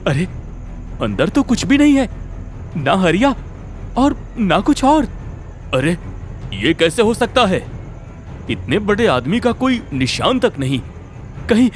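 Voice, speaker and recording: A concerned villager from a cartoon folk tale acted by a man. He sounds urgent and dramatic, with a high, lively voice. Music runs behind him. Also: slow pace, pauses often, heavily acted. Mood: worried, urgent. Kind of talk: animated story dialogue.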